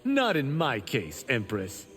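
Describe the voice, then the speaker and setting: An actor playing a cocky, witty young warrior monk in a video game, speaking with cheeky confidence. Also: male voice, mid-range voice, wildly swooping pitch, takes it slowly, fairly bright tone, in a large hall, a little hiss or hum, heavily acted. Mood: cocky, wry. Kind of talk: dramatic video game dialogue.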